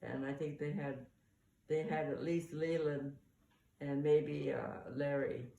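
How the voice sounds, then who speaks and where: An older woman, a mother casually sharing family memories in a halting, conversational way. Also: sits low, steady tone, vocal fry, warm tone, muffled recording. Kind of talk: casual oral-history reminiscence. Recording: echoey, distant mic, faint room noise.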